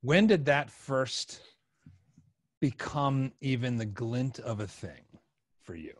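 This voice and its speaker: A man's voice: medium pitch, animated, slow pace. A man in his forties hosting a podcast, asking questions in a casual interview style. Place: furnished room, indoors. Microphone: desk microphone, headset headphones.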